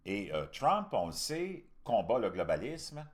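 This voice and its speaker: Québécois man, low voice with big pitch swings. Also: big pitch swings, measured pace. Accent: Québécois French. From Canada. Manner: opinionated, colloquial, emphatic. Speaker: host commentator. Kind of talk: casual political commentary.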